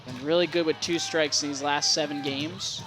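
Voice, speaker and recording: A man's voice: on the high side, fairly even intonation, moderate pace, over music. A man giving live baseball color commentary in short, conversational observations. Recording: live broadcast over loud crowd noise.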